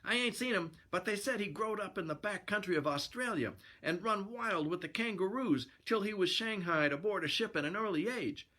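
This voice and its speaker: A man acting slangy sailor pal, raised pitch, urgent, gruff, loyal storybook sidekick. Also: lively intonation, moderate pace, hardly any pauses, sharp tone, exaggerated voice. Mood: excited.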